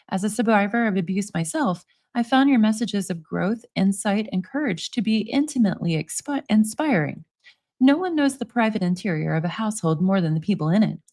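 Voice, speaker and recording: A woman in her forties who hosts a commentary channel, reading emails aloud in a steady, measured voice and then chatting casually between them. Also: medium pitch. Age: 40s.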